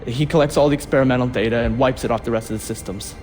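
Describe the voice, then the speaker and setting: An actor playing a nervous, cooperative research engineer in a video game, explaining things hesitantly and a little wryly. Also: male voice, mid-range voice, steady tone, rapid-fire, a little mellow, soft background music, natural voice. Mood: uneasy, explaining. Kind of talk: video game cutscene acting.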